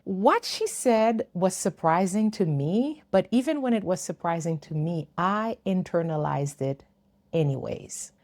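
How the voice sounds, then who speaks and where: Earnest woman in her fifties, low voice. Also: expressive, unhurried, darkish tone. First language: not English. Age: 50s. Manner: earnest, reflective, with light laughs. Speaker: YouTuber. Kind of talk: personal storytelling to camera.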